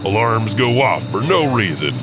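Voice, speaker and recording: A man's voice: fairly low pitch, very animated, moderate pace, background noise. A man hosting and narrating scripted ghost stories, with music behind him. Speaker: narrator and host.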